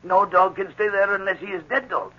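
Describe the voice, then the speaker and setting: High-pitched French-Canadian storekeeper. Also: male voice, steady tone. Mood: animated, earnest. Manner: broken English, drawn-out plaintive phrasing. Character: aggrieved French-Canadian backwoods storekeeper, excitable and plain-spoken. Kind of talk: 1940s radio acting. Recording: muffled, worn 1940s radio recording.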